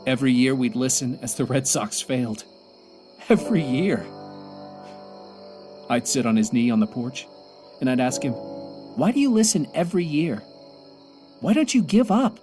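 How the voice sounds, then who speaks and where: A man's voice: medium pitch, big pitch swings, normal speed, long pauses, mellow, lightly acted. Weary stranded astronaut, played by a man, music behind. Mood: wistful. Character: stranded American male astronaut commander, weary, grieving, clinging to hope. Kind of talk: audio drama monologue.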